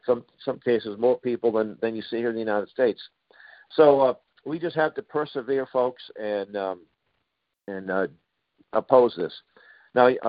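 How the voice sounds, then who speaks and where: Middle-aged male radio host, sarcastic, halting delivery; dull, dry lo-fi sound. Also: fairly low pitch, no echo. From Boston, Massachusetts. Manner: sarcastic, halting with frequent uhs and restarts. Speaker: radio talk show host. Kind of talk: talk radio monologue.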